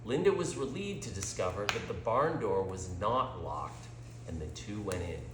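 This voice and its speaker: A man reading a story aloud as a storyteller, with deliberate, theatrical dramatic delivery. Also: on the low side, lively intonation, noisy background. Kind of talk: scripted story narration, dramatic read-aloud. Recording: roomy, echoing sound.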